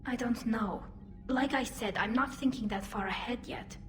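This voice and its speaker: An actress playing a young, modest, war-weary alien admiral in a video game. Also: female voice, a little high, moderate pace, music in the background, lightly acted.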